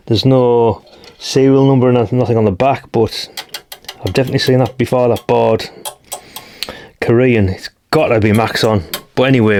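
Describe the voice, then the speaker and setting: A man's voice: mid-range voice, darkish tone, a little hiss or hum. A British man talking casually and thinking aloud as he gives a hands-on teardown commentary, rambling and informal. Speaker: hobbyist radio channel host.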